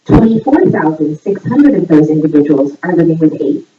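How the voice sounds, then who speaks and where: A woman reading a scripted voice-over like a playful quiz presenter, setting out each line clearly. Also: big pitch swings, normal speed. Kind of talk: scripted voice-over narration, trivia quiz for a fundraiser. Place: voice audio played back in a room during filming. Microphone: far camera picking up played-back audio. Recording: echoey, distant, muffled low-quality playback.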